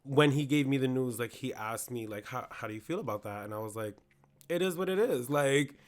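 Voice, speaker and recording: Hoarse man in casual chat. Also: mid-range voice, a little fast. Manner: candid, chatty, quotes himself in asides. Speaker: podcast co-host.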